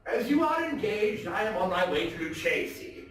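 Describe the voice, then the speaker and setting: A man's voice: high voice, very animated, normal speed, pitched above the performer's own voice. Man acting a coaxing, scheming nobleman, very echoey. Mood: coaxing, solicitous. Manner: theatrical, falsely solicitous, coaxing. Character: smooth-talking vengeful Italian nobleman feigning friendship. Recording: echoey theatre, far from mic, faint noise.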